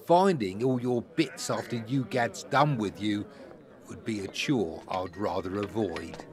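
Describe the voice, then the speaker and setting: Smooth fantasy villain's associate, male actor: low, slow, polite and quietly menacing. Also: a crowd in the background, close to an everyday voice. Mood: suave, mocking. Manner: suave, courteous with veiled menace. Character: smooth, sly male old friend serving a crime lord. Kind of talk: fantasy audio drama acting.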